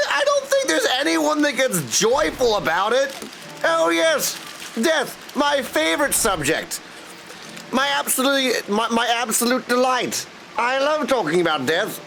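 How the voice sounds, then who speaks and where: A man's voice: very high voice, very animated, unhurried, creaky, sharp tone, slight background noise, low-quality recording. A man chatting casually and goofily with viewers in slangy language while livestreaming a game. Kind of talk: casual livestream chat over gameplay. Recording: music behind the voice. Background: game music.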